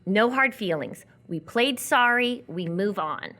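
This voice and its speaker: Woman acting young trailer girl, sardonic n guarded, blunt but hurt, pitch moves around a lot, stage radio play. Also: lively intonation, measured pace, bright, forceful tone. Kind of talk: live radio theatre acting.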